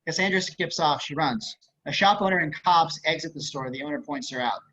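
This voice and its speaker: Bright, highish male script narrator. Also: a little fast. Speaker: narrator of stage directions. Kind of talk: scripted narration at amateur table read. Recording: dull video-call audio.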